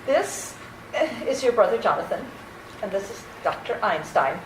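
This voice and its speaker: A woman's voice: medium pitch, big pitch swings, moderate pace, natural voice. A woman playing a sweet, fussy elderly aunt in an old-time radio play. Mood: sweet, fussing. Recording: echoey room, faint noise.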